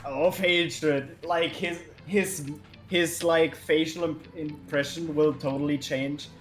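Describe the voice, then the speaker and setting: Slow, halting tabletop player. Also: mid-range voice, steady tone, faint music behind. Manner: halting, filler-heavy, searching for words. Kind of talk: halting in-character narration.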